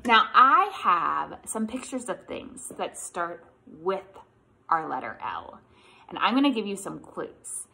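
Very animated American woman, bright high voice. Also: takes it slowly, creaky, a little hiss or hum, poor audio. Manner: animated, encouraging, exaggerated child-directed delivery with questions. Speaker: children's librarian leading online storytime. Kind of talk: preschool lesson and picture-book read-aloud.

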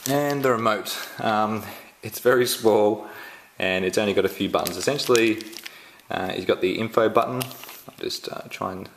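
An Australian man giving a casual unboxing walkthrough at home, halting and full of ums and restarts. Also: fairly low pitch, a little hiss or hum. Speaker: tech reviewer. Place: indoors at home, filming the box up close.

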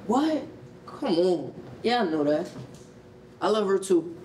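An easygoing young American uncle in a staged reading, teasing and slangy with a soft heart. His voice sits a bit high, and the hall sounds roomy and distant. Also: very animated, takes it slowly, heavily acted. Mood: joking, grumbling. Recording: roomy hall, distant mic, faint noise.

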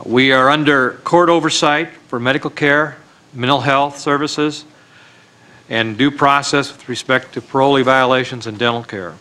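A man reads a formal prepared statement, listing facts one after another. His voice is bright and sharp at a middling pitch. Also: fairly even intonation, moderate pace.

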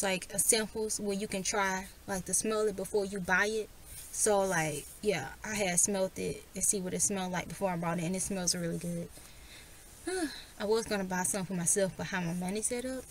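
A young woman talks casually and softly, as if to a friend, in a parked car. Her voice sits in a middle range, quiet by nature. A steady hiss of background noise runs under the phone recording. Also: a little fast. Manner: soft-spoken, low and quiet. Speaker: vlogger. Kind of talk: casual vlog chat.

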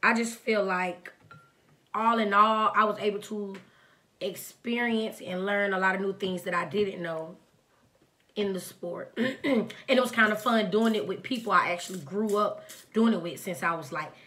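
A young woman with a raspy voice, a bit sick and clearing her throat, chatting casually at a table while eating. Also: mid-range voice, moderate pace. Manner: relaxed storytelling. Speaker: mukbang co-host. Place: indoor home studio.

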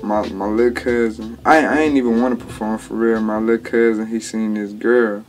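A man's voice: lowish voice, fairly even intonation, moderate pace, darkish tone. A twenty-year-old man, a rapper, answering interview questions in a laid-back, drawling way with lots of slang and restarts, in a small home studio. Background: music bed under the talk.

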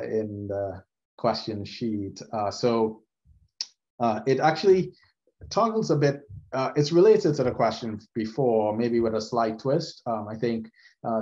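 A man moderating an online panel over a video call, speaking in a measured way with many "uh" fillers. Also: on the low side, darkish tone, highs cut off. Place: video call, suit, virtual background.

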